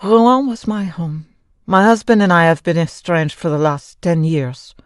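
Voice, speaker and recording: A woman's voice: lowish voice, a little slow, smooth, no echo, studio quality, close to an everyday voice. Woman acting a sorrowful, reserved middle-aged wife. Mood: guarded. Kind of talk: old-time radio drama acting.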